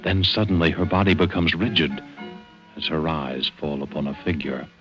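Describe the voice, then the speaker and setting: A man narrating a 1940s radio crime thriller in an ominous, deliberate storyteller's style. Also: very deep voice, fairly bright tone. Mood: ominous, suspenseful. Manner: suspenseful, deliberate delivery. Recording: muffled vintage radio recording with music.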